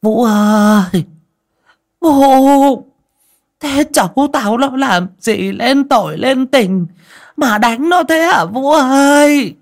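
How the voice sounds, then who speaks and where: Man as frail grandmother in her eighties, high voice, drawn-out words, protective, anguished, audio storybook. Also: gravelly, heavily acted. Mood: anguished outrage. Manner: wailing, drawn-out pleading.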